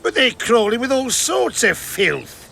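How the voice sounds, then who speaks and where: A suspicious soldier in a fantasy game. He is high and sharp with a gravelly creak, accusing and agitated, over faint outdoor ambience. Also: lively intonation, measured pace, slight background noise, heavily acted. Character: suspicious, cowardly garrison soldier. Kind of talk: video game voice acting.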